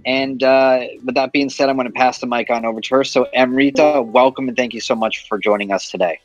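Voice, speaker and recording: A man hosting a livestream, introducing the guest in a relaxed, friendly way. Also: mid-range voice, a little fast. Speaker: host. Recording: dull lo-fi sound.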